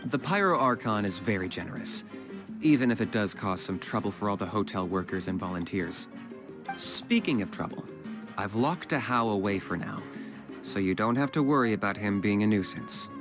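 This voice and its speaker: A man playing a calm, serious young warrior in a video game, measured and matter-of-fact. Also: on the low side. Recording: music behind the voice.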